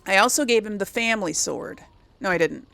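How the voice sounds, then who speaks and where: Woman rambling casually, faint creak. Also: mid-range voice, brisk, sharp tone. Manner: rambling, thinks aloud and self-corrects. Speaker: gaming video host. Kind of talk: casual chat, let's play commentary.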